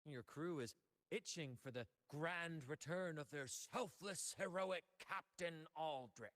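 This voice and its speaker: A man's voice: on the high side, takes it slowly, fairly bright tone, no room sound, clean studio sound. A man playing a flamboyant, confident young pirate adventurer in a video game, speaking in a theatrical, teasing way. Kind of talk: video game character acting.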